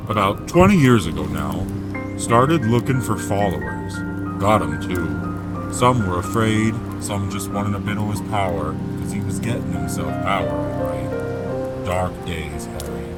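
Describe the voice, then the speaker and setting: A man playing a gruff, kindly, grieving and protective giant with folksy, rough grammar in an audiobook drama. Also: sits low.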